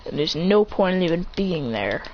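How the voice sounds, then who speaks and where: A woman's voice: on the low side, big pitch swings, moderate pace, muffled recording, a little hiss or hum, pitched below the performer's own voice. A woman voicing a bitter, angry young male ninja outcast, talking in resentful outbursts.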